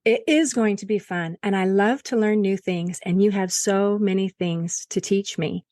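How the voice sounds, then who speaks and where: Woman, podcast host, enthusiastic, upbeat, mid-pitched, dry close sound. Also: moderate pace, a little mellow, no echo. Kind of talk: podcast interview with a scripted intro.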